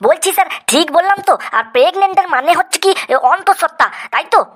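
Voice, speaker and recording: A woman's voice: extremely high pitch, lively intonation, fast, a bit bright. A woman voicing a cheeky, dim, argumentative schoolboy in a comedy cartoon, with a whiny manner. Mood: cluelessly confident. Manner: hesitant answers, whining protests.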